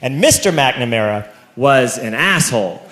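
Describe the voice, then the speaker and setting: A man telling a long story as a stand-up comedian, talking casually and building to shouted punchlines in front of a live audience. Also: medium pitch, lively intonation, moderate pace. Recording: echoey club with audience laughter.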